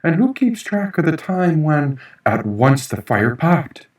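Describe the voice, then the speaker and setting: A man's voice: a little high, very animated, slow, darkish tone, voice pushed up. A man voicing a dazed, wonder-struck character on a mushroom trip, rambling in questions as an audiobook reader. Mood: musing, puzzled. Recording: echoey room, distant mic.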